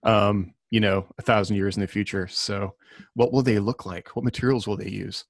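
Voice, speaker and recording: A man's voice: fairly low pitch, steady tone, moderate pace, dry recording, clean studio sound. A man hosting a casual podcast chat among fellow writers.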